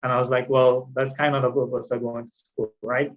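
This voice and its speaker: A man's voice: medium pitch, little pitch movement, brisk, muffled recording. A man from Trinidad gives an educational talk in an explanatory, conversational way, posing questions and answering them. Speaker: guest speaker, mental health presenter. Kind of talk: educational presentation with personal anecdotes.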